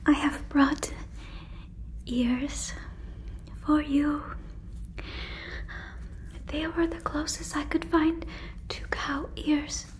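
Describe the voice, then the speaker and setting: A woman voicing an earnest, protective alien in an ASMR roleplay, whispering breathily close to a microphone with halting, stilted phrasing. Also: high-pitched, steady tone, low-quality recording. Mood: curious, helpful. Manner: halting, stilted phrasing like a learner of human words. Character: earnest female alien, protective, gently convinced listener is a cow. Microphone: fluffy microphone, very close.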